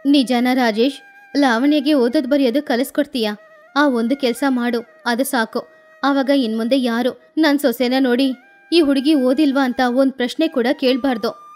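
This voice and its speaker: A woman's voice: high voice, steady tone, normal speed, a little mellow, faint music behind, natural voice. A woman playing a proud, shrewd middle-aged village mother-in-law with a firm, emphatic manner in a story. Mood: insistent. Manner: firm, emphatic, defensive of family.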